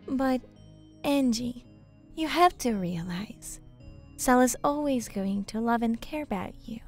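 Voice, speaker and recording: High, bubbly big-sister demon. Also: female voice, slow, soft background music. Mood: soothing. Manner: expressive, coaxing, excitable. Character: bubbly, motherly big sister demon, sweet but sassy. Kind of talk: animated comic dub audio drama.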